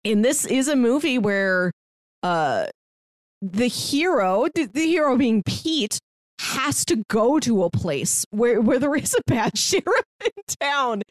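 Higher-pitched, very expressive woman co-hosting. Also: slow, no room sound, studio quality. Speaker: podcast co-host. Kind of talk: casual film review chat.

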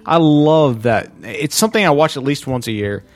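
A man's voice: fairly high pitch, expressive, normal speed. A man co-hosting a podcast in casual comic banter, joking and poking fun at himself. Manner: joking, self-mocking. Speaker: podcast co-host.

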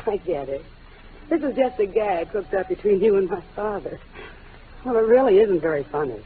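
A woman's voice: mid-range voice, animated, moderate pace, slight background noise, lightly acted. An actress in a 1940s radio drama playing a composed, defensive young woman suspected of a crime. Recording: tinny old radio broadcast.

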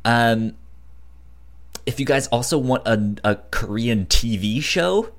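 A man's voice: medium pitch, lively intonation, slow pace. A man chatting casually on a podcast, with a frequent stutter that repeats syllables and catches on words. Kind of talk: casual podcast chat, giving recommendations.

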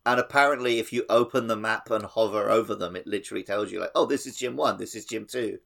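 A man co-hosts a casual gaming podcast. His voice sits a little low, and he talks in a conversational way. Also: fast, vocal fry, a bit bright. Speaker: podcast host.

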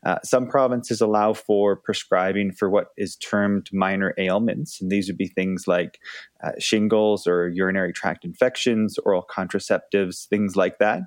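A man, a pharmacist guest giving measured explanations in an interview. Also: deep voice, fairly even intonation, no room sound, studio quality. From Canada.

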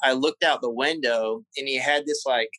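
Southern man in his thirties, bright tense voice, dry close sound, interview guest recalling his childhood. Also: mid-range voice, steady tone, no echo, rough recording. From South Carolina. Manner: halting, repeats words while recalling memories. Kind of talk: casual interview conversation.

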